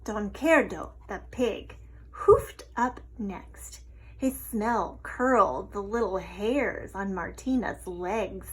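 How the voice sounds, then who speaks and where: A woman reading a picture book aloud as an expressive storyteller. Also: medium pitch, takes it slowly, breathy edge, low-quality recording.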